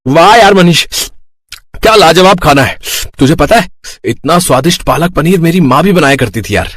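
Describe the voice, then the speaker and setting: A man plays a hearty village diner in an animated story. His delighted voice is pitched up and recorded dry. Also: normal speed, no echo.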